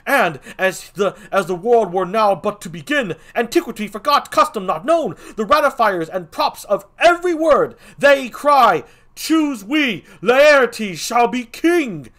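A man doing a frantic, shouting messenger. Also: high-pitched, voice pushed up. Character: panicked male messenger with urgent news.

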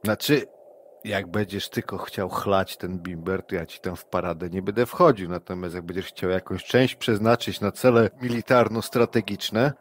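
A man's voice: low-pitched, moderate pace. A man playing a tabletop game online, speaking in character in a rambling, scheming way and checking in with his listener often. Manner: rambling, conspiratorial, peppered with "wiesz" and "nie?". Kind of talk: in-character roleplay, casual and scheming. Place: home, online session. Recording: online session audio with faint noise.

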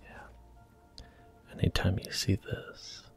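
A man talking softly through a video game in a slow, gentle ASMR whisper. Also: faint music behind. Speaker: ASMR gaming channel host. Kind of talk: soft-spoken ASMR gameplay commentary.

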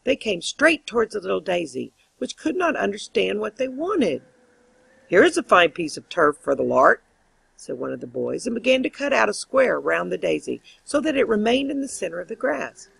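Woman, audiobook fairy-tale narration, very husky, dry close sound, expressive and measured. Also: mid-range voice, no room sound. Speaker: audiobook reader.

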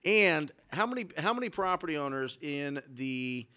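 Energetic, emphatic man hosting a podcast. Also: fairly high pitch, moderate pace. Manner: energetic, emphatic, hypes the guest. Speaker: podcast host, real estate coach. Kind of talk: podcast interview. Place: studio set with mountain mural. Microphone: studio mic on boom arm.

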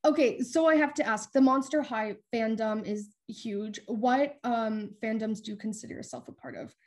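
A young woman hosting a casual video-call interview, enthusiastic and rambling like an excited fan. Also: on the high side, moderate pace. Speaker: interviewer host. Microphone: webcam video call.

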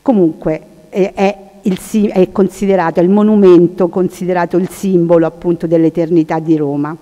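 Woman, soft mellow tone, informal lecture, halting delivery, long hall reverb. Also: lowish voice, steady tone. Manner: halting, searching for words. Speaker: conference speaker. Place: large hall, seated at panel table. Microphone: table microphone.

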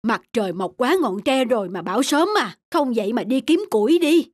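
A woman voicing a poor, hardworking elderly village mother who nags and scolds in an animated folk tale. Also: a little high, brisk, no echo, clean studio sound. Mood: scolding. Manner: nagging, scolding. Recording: background music under the voice.

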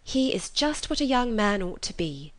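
A woman reading aloud, voicing a gentle, modest young woman who speaks softly and earnestly. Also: mid-range voice, big pitch swings, moderate pace, pitched above the performer's own voice, natural voice.

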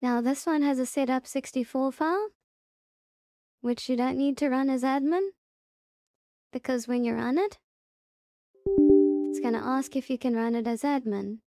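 High-pitched woman giving a casual tutorial. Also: moderate pace, pauses often, mellow, no echo, studio quality. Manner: step-by-step instructing, repeats reminders. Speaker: tutorial presenter. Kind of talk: casual software tutorial narration.

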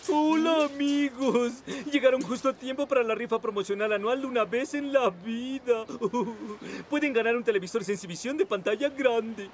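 A man's voice: very high voice, normal speed, few pauses, slight background noise. An actor playing a showy animal salesman running a raffle in a children's cartoon dub, talking like an announcer. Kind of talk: Spanish-dubbed children's cartoon acting. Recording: music under the voice.

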